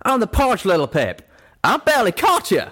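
A man's voice: on the high side, wildly swooping pitch, moderate pace, voice pushed up. A man voicing a brash, protective male pegasus pony in an audiobook story, blunt and scolding. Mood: angry, scolding.

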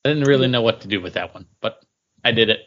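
A man's voice: medium pitch, quick pace. A man co-hosting a podcast in casual, informal chat. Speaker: comics podcast co-host. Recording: dull lo-fi audio.

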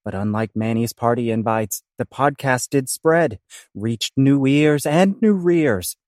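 A man's voice: mid-range voice, no room sound, clean studio sound. A man narrating a comic audio play in theatrical, rhyming scripted verse.

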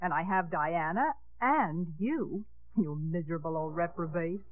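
A woman's voice: fairly low pitch, animated, unhurried, a little hiss or hum. A woman playing a poised, affectionately teasing widowed lady mayor in a 1950s radio comedy. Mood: amused, teasing. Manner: composed, teasing. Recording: old radio recording, thin phone-like sound.

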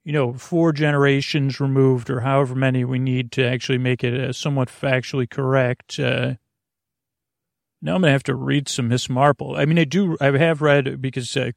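A man's voice: mid-range voice, creaky, no room sound, clean studio sound. A man hosting a bedtime podcast, rambling in a goofy, meandering way with many fillers and restarts. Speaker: podcast host.

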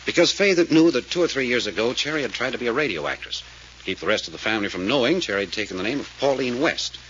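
An actor playing a commanding detective who explains a case emphatically, in the style of a 1940s radio serial. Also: male voice, mid-range voice, animated, quick pace, fairly bright tone, natural voice. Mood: intent, explaining. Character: brisk, commanding male detective explaining a murder. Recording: muffled, hissy old radio recording.

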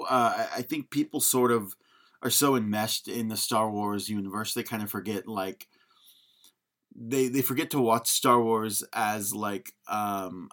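A man's voice: lowish voice, fairly bright tone. A man co-hosting a podcast, chatting informally about film and hesitantly feeling his way through opinions. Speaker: podcast co-host. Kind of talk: casual film discussion.

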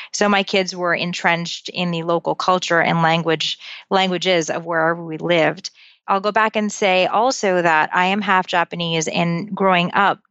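Low-voiced woman author, dull audio. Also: a bit bright, smooth, no room sound. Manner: methodical, dates-driven storytelling. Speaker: guest author. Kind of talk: interview answers.

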